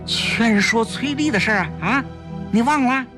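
A man's voice: deep voice, huge pitch swings, normal speed, over music, voice pushed down. A man voicing an eager, boastful, scheming husband in an audio storybook, urgent and wheedling.

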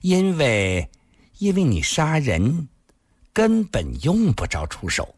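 Man putting on a coy, sly seductress with breathy giggles. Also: a little high, huge pitch swings, slow, dry recording, studio quality, voice pushed up, exaggerated voice. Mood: flattering, teasing.

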